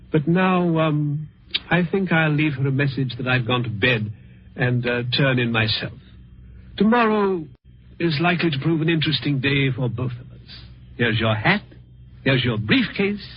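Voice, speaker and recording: Sly, gloating older gentleman, swooping voice. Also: on the high side. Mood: silky menace. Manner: mock-courteous, chuckling, savouring his words. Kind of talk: old-time radio suspense acting. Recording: muffled, noisy vintage radio recording.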